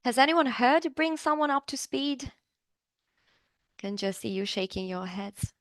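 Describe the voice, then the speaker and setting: A woman's voice: sits high, animated, measured pace, no echo, clean studio sound. Upbeat woman teaching. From Serbia. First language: Serbian. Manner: upbeat, chatty, asks the class questions. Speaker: business English teacher. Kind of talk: live online class.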